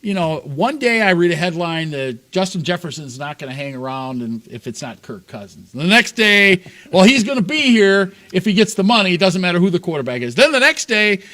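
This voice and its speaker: Chatty sports show host. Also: male voice, high voice, big pitch swings, fairly quick, hardly any pauses, bright, forceful tone. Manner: chatty, laughs often, gets worked up. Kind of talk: casual sports talk.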